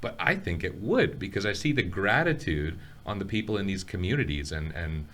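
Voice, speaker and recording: A man on the college faculty speaking hesitantly on a podcast, pausing and restarting his sentences. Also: sits low, animated, fairly bright tone. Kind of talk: casual podcast conversation.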